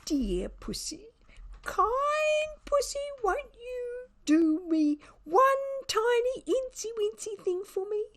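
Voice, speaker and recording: Scheming storybook dad, played by a woman: cooing, pleading, very high and drawn out. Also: very animated, takes it slowly, heavily acted. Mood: wheedling, pleading. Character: wheedling, sugary, scheming father begging a cat.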